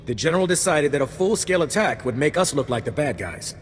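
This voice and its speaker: Higher-pitched, dutiful military operative. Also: male voice, very animated, fast, in a large hall, a little hiss or hum. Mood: businesslike. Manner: formal, deferential briefing. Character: dutiful, earnest male military special forces operative. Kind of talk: video game cutscene acting.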